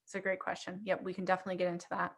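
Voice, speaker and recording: A woman's voice: on the low side, monotone, racing, vocal fry, noisy background. A woman in her thirties, from Afghanistan and Pakistan, hosting a video call in an upbeat, conversational way with many fillers. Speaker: host presenting a church software platform. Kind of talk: casual video call presentation.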